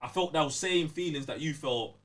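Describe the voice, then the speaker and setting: A man's voice: a little high, moderate pace, distant mic. A man with a London accent chatting casually as a podcast co-host, slangy and emphatic, often checking that the listener follows. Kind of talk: casual game review chat.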